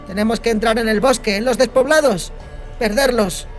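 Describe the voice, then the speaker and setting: Man voicing a high-pitched, breathless, urgent young woman. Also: normal speed, faint music behind. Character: frightened, urgent young woman riding as a fugitive. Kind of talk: dramatised audiobook character.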